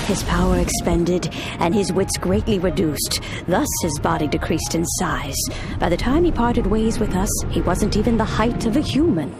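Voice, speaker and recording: An actress playing an ageless, wise immortal woman in a video game, telling old tales in a solemn, measured, formal way. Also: lowish voice. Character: ageless immortal adeptus woman, wise, stately, nostalgic storyteller. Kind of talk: dramatic video game storytelling.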